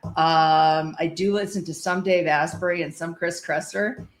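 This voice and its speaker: A woman speaks casually as an interview guest. The sound is clearly roomy and echoey, as on a remote call. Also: sits low, steady tone, unhurried. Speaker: podcast guest, psychiatric practitioner.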